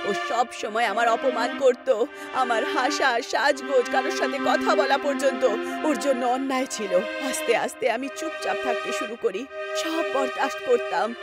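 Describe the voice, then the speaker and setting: A woman's voice: sits high, normal speed, lightly acted. A woman voicing a vengeful, wronged ghost of a young wife, accusing and dramatic, in a horror story. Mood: bitter, aggrieved. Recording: loud music behind the voice.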